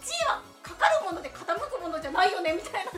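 A woman's voice: very high voice, very animated, quick pace, music in the background. A woman guest in a casual radio round table, telling anecdotes and acting out bits.

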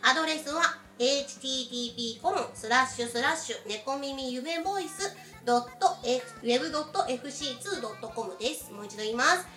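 A woman's voice: sits high, fast talker, a bit bright. A woman hosting a casual radio talk show, chatting and reading announcements. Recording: echoey room, distant mic, music behind.

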